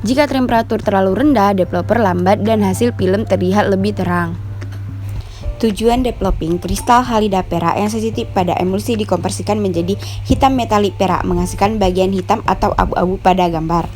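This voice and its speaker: A woman's voice: medium pitch, a little fast, darkish tone. A woman reads a formal, scripted instructional voice-over in the manner of a narrator. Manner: formal, read-out. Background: music.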